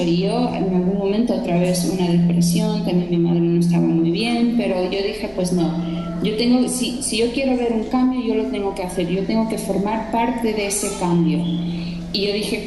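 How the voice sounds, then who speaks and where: A woman in her thirties with an American accent tells her story haltingly, often restarting her sentences. Her mid-pitched voice rings through a large hall with a long, booming reverb. Music is heard clearly behind her. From Chicago, United States. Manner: hesitant, many restarts and fillers. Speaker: student speaker at a gathering. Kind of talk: personal testimony talk.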